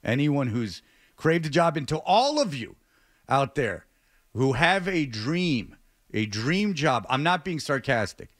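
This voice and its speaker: Guy hosting a radio show, kinda stammery and halting, normal pitch, dry studio sound. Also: sing-song, a bit bright, no echo. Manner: halting, stammering delivery with repeated words. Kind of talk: unscripted radio talk show monologue and interview.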